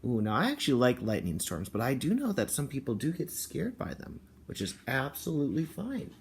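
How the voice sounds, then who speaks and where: Man, kindergarten teacher, mid-pitched voice, read-aloud lesson for kids and parents, some background noise. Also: wildly swooping pitch, brisk.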